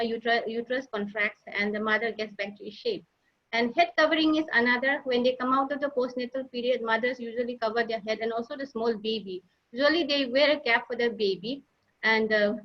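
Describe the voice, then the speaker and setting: A woman giving a slide presentation as a nurse leader, halting often with fillers and restarts. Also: on the high side. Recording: dull lo-fi online audio.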